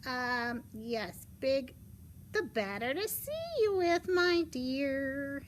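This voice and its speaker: A woman's voice: sits high, a little hiss or hum, pitched above the performer's own voice. A woman voicing a sly storybook wolf who pretends to be a sick granny, stalling and wheedling as it talks. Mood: sly, feigning. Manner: hesitant stammering, wheedling, drawn-out replies. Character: sly big bad wolf posing as sick grandmother.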